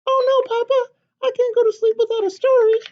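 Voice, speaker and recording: A woman voicing an eager, excitable little girl chicken in a storybook read-aloud, squeaky and pleading. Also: brisk, muffled recording. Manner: squeaky, exclaiming, childlike.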